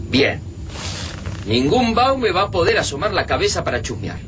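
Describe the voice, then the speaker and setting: An actor playing an analytical, wary but decisive resistance fighter in a radio drama, with an Argentine Rioplatense accent. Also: male voice, on the high side, wildly swooping pitch, moderate pace. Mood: puzzled, wary. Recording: clearly noisy, sound-effect ambience.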